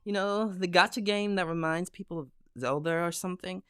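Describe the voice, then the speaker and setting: A woman voicing an excitable, defensive young male gamer with an animated manner in a scripted comedy skit. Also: on the low side, a little fast, clear, resonant tone, faint music behind, voice pushed up, close to an everyday voice. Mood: eager, defensive.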